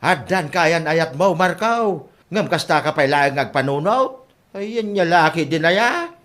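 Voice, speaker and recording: Man as a scolding middle-aged father, high voice, Ilocano accent, exasperated, disbelieving, radio comedy drama. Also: animated, measured pace, fairly bright tone.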